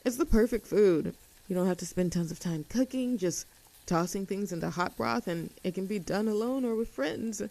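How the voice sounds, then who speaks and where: A woman's voice: medium pitch, moderate pace, creaky, mellow, natural voice, poor audio. A woman voicing a cheerful, easygoing young tomboy friend in an intimate roleplay audio drama, talking in a casual, chatty and affectionate way. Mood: excited, chatty.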